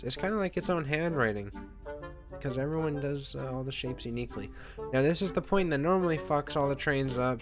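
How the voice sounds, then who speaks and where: Male gameplay commentator, mid-range voice, casual and self-deprecating, rambling delivery with mild swearing, faint music behind. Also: mid-range voice. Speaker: gaming video host.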